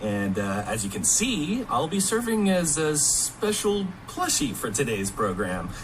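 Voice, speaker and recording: A man's voice: fairly high pitch, very animated, unhurried, slight background noise, rough recording. A man talking casually as a voice actor guest on a promotional panel. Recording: music under a played stream.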